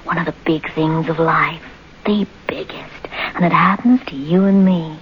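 A woman's voice: deep voice, fairly even intonation, a little slow. An actress playing a married man's tender, conspiratorial mistress in mid-century radio melodrama. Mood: dreamy, intimate. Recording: dull, lightly noisy vintage radio recording.

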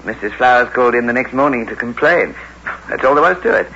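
An actor playing a suave, dismissive pub landlord with an urbane, condescending manner in an old-time radio drama. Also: male voice, mid-range voice, lively intonation, fast, sharp tone. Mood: polite, guarded. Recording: dull, noisy vintage radio recording.

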